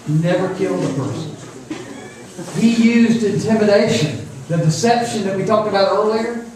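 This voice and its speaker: A man's voice: sits high, moderate pace. A man, preaching informally to young people in a folksy, repetitive way. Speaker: preacher at a youth camp. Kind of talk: informal sermon. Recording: echoey room, distant mic, faint noise.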